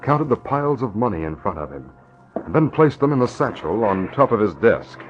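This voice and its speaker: A man's voice: fairly low pitch, sing-song, normal speed, faint music behind. A man narrating a scripted radio adventure drama in an old-time broadcast style. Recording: dull old radio recording, faint noise.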